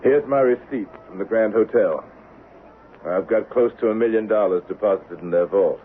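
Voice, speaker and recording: Male actor as vengeful Old West man, deep voice, cool and calculating, dry taunts, vintage radio drama, narrow tinny sound. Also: close to an everyday voice. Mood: taunting, assured. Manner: deliberate, dryly taunting, controlled. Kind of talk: 1970s radio drama acting. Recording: narrow-band vintage radio recording with faint hiss.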